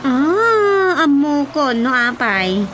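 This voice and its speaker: A woman's voice: sits high, very animated, drawn-out words, a bit bright, soft background music, full character voice. An actress with an Ilocano accent playing a chatty village woman in a radio comedy drama. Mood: inquiring.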